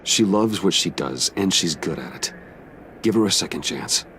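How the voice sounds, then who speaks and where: An actor playing a grave, principled masked vigilante in a video game, speaking tersely in a gravelly voice. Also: male voice, lively intonation, normal speed, a bit bright, slight background noise, rough recording. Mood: solemn, insistent. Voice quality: deep, gravelly. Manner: terse, solemn.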